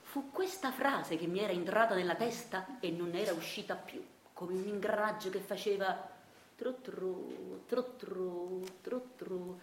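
An actress playing a dreamy, henpecked, bookish husband, confiding his story in a hushed theatrical monologue. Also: medium pitch, unhurried, echoey room, a little hiss or hum, natural voice. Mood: haunted, hushed. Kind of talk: theatrical radio monologue narration.

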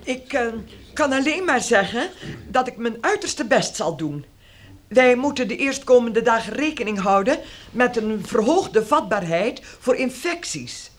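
A woman's voice: on the high side, big pitch swings, measured pace, slight background noise. A woman acting in a radio drama as an earnest, dutiful hospital nurse, giving careful instructions politely and formally.

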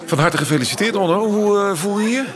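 An actor playing an impassioned, intense astronomer in a Dutch radio drama, speaking earnestly and expressively. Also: male voice, high-pitched, expressive, quick pace, a crowd in the background. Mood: warm congratulating. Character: Max, impassioned, intense astronomer, loyal friend.

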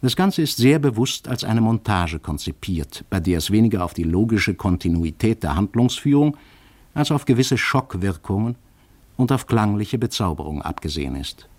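Male radio presenter with a lower voice, reading a learned, carefully phrased introduction to a drama. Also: expressive, darkish tone. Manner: formal, measured, scholarly phrasing. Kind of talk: scripted literary introduction.

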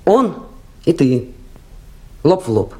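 Mellow, stubborn, blunt river woman. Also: sits low, very animated, slow pace, exaggerated voice. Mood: defiant, challenging. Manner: blunt, dry, defiant. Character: stubborn, plain-spoken young Siberian river woman. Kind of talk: 1980s radio play acting. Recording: faintly noisy 1980s radio drama recording.

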